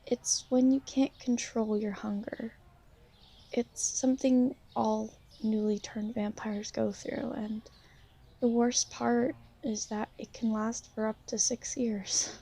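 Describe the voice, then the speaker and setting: Shy, insecure young female vampire, played by a woman, for ASMR roleplay; mellow, creaky voice, halting and apologetic. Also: fairly high pitch, flat delivery, exaggerated voice, rough recording. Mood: uneasy. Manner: hesitant, halting, soft-spoken, apologetic. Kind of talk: ASMR roleplay, intimate one-sided conversation.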